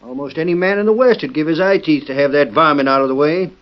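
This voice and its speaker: Male actor, homespun sheriff, Western drawl, higher voice, indignant, muffled vintage radio western. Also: quick pace, lightly acted. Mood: puzzled, skeptical. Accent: American Western drawl. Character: folksy, indignant, slightly slow-witted frontier sheriff. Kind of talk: old-time radio western acting.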